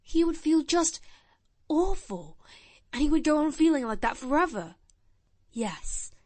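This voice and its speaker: A woman reads as a grave little boy of almost ten, talking in a shy, blunt, earnest way. The voice sits high and swings widely up and down. The sound is dry and close. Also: very animated, unhurried, a little mellow, no echo.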